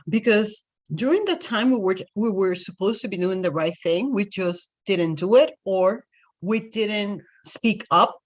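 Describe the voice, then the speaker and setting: A woman with a Puerto Rican accent, speaking as a spiritual coach in an unscripted talk. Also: medium pitch. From Puerto Rico.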